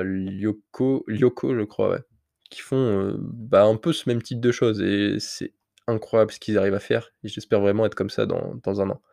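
A man with a low, gravelly voice and a mellow, dark tone, recorded dry and close. He has a slight cold and tells his podcast listeners a personal story in a candid, rambling way. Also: no echo. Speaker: podcast host. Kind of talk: casual solo podcast monologue.

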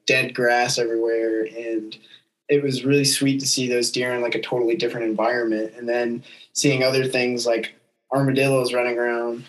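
A man's voice: mid-range voice, rough recording. A young adult man hosting a casual podcast chat, telling outdoor anecdotes in an informal, enthusiastic way.

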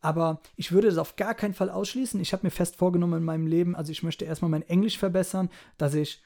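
Young male guest, gravelly and dark-toned, slightly high pitch, hesitant and thoughtful. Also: steady tone, fast. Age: young adult. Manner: thoughtful, hesitant, many fillers. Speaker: rapper, interview guest. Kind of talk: casual interview answers.

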